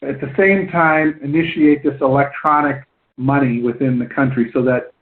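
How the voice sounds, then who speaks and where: A man hosting a conference call, explaining things in a halting way, often repeating and rephrasing himself. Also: mid-range voice, fairly even intonation. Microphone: phone line. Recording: tinny phone line conference call.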